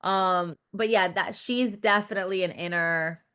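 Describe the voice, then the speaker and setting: A woman in her thirties talks at a middle pitch in a loose, rambling way. Her sentences are full of fillers and restarts, and she swears without a second thought. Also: fairly even intonation, moderate pace, bright, forceful tone, clear, resonant tone. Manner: rambling, lots of "like" and self-interrupting restarts; frank, swears casually. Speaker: interview guest, music video director. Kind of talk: casual interview chat.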